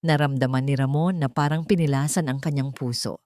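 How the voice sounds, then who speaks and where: A woman's voice: extremely low pitch, steady tone, brisk, darkish tone, no room sound, clean studio sound. A woman reading a dramatic scripted story as its narrator.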